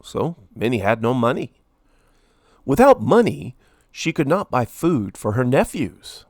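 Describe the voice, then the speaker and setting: A man reading a children's story aloud in an expressive way, with deliberate, dramatic emphasis. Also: medium pitch, no echo, clean studio sound. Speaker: storybook reader, host.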